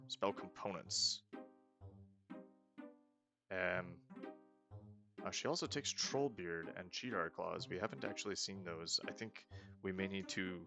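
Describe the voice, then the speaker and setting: A man casually commenting on a game he is playing, planning his next moves aloud and often trailing off into soft mutters. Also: low-pitched, unhurried, creaky, pauses often, over music. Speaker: let's play host.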